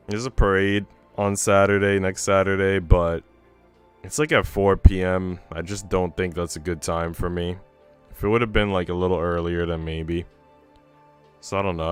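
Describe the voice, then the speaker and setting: A man's voice: low-pitched, fairly even intonation, normal speed, clear, resonant tone. A man livestreaming, talking in an informal, slangy, rambling way as he chats and reads a visual novel aloud. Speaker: streamer. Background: faint game music.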